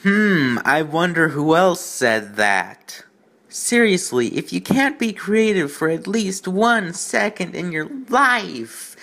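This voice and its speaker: A man's voice: a little high, fairly bright tone. A man hosting a reading show with sarcastic, rambling commentary in an exasperated, self-deprecating manner. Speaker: host of a bad fan fiction reading show.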